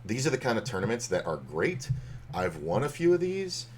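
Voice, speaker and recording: A man casually talking through poker hands on a stream, rambling with lots of fillers, his voice slightly hoarse. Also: medium pitch, very animated, moderate pace, slight background noise. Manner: rambling, loose, with many fillers and restarts.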